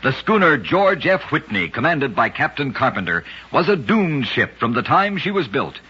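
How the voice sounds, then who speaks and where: A man narrating strange true facts in a scripted old-time radio style, with a brisk, dramatic storytelling cadence. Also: medium pitch, very animated, bright, forceful tone, breathy edge, dull audio.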